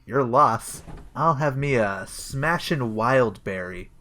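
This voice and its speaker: Comedy audio drama: male actor as deluded, pompous police detective, hesitant delivery, animated intonation. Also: medium pitch, slow. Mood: smug, self-assured. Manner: pompous, hesitant, full of himself. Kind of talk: comedic audio play acting.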